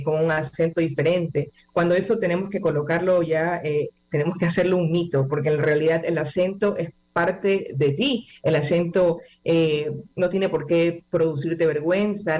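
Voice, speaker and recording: A woman's voice: deep voice, a little mellow. Woman, academy manager as guest, patient explainer, hesitant fillers between points. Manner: measured, explanatory, frequent "eh" fillers. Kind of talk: explanatory interview answers.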